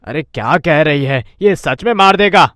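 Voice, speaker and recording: A man voicing a quick-tempered, bickering village husband and petty thief in a cartoon, with dramatic delivery. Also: a little high, animated, a bit bright, dry recording, clean studio sound. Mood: angry, alarmed. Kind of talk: Hindi moral-story cartoon dub.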